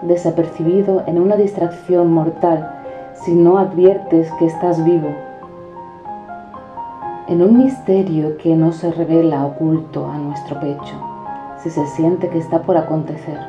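A woman declaiming poetic prose in a solemn, measured recitation. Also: low-pitched, fairly even intonation. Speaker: poetry reciter. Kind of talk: declaimed spoken-word poetry. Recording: dull reverberant recording over music. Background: background music.

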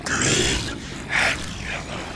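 A man's voice: extremely slow, heavy background noise. A man playing a savage, tormented mutant scorpion monster in a video game, snarling in broken speech. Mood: menacing, tormented. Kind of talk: video game villain acting.

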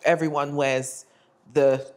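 A woman's voice: sits low, level tone, takes it slowly. A young woman speaking as a panel guest in a studio, candid and easygoing, quoting other people in a raised voice. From Britain. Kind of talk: casual panel discussion. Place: bright studio set.

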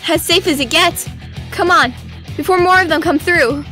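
A woman plays a young girl in an audio drama, with a very high voice that is bright and forward. She is earnest and kind, quick to reassure, with faint music behind her. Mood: concerned, reassuring. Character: kind, urgent young girl fighting demons.

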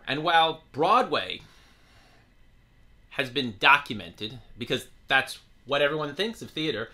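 A man's voice: on the high side, bright, forceful tone. A middle-aged man talking casually and unscripted to camera, rambling and often correcting himself as he goes. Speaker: community theater actor documenting rehearsals. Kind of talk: casual unscripted vlog talk. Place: small indoor room with bookshelves.

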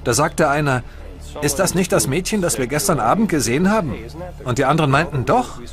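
A man's voice: fairly high pitch, lively intonation, a little fast, music in the background, close to an everyday voice. A man voicing a police investigator recounting a case in a dubbed documentary interview.

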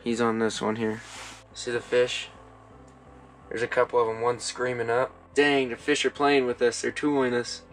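A young American man chatting excitedly while ice fishing, recorded on an action camera inside a small tent. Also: mid-range voice, normal speed, rough recording. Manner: excited, upbeat, cheering catches. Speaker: vlog co-host. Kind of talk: casual outdoor chat.

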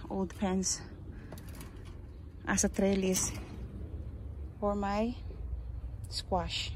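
Slow Filipino woman showing her garden. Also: medium pitch, a little mellow, slight background noise, rough recording. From Philippines. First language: Kapampangan. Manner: slow, halting, searches for words. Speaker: gardening vlogger. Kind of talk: casual show-and-tell. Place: backyard garden outdoors. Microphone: handheld phone, speaker off camera.

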